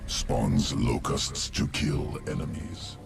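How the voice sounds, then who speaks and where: Analytical alien bug scientist for a game, slow deep guttural gravel, clipped clinical talk, loud music. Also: male voice, heavily acted. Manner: terse clinical phrases without articles. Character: cold, analytical alien creature geneticist. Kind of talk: video game cinematic acting. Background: loud game soundtrack.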